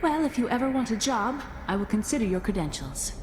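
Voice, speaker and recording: A woman's voice: on the high side, big pitch swings, fairly quick, background noise. A young woman voicing an earnest, curious scholar with a dry wit. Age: young adult.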